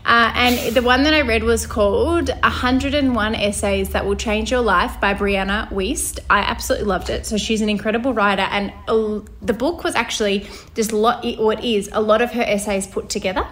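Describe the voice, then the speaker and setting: A woman's voice: a little high, steady tone, a little fast, hardly any pauses, a bit bright. A young woman co-hosting a podcast in casual chat, talking chattily, laughing often and restarting her sentences. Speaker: podcast co-host.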